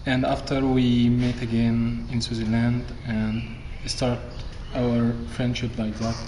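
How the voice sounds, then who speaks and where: Flat-toned man, English. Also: mid-range voice. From Egypt. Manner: non-native English, halting grammar. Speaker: theatre performer. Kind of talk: festival interview. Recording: echoey room with faint noise.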